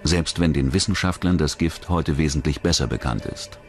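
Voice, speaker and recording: A man's voice: rumbling low, moderate pace, soft background music. A man reading scripted true-crime documentary narration as the narrator.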